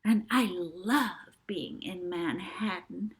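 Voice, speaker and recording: A woman voicing a gushing, showy little girl stage star with a sugar-sweet voice in an audiobook. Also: on the low side. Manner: gushing, drawn-out stage-darling delivery.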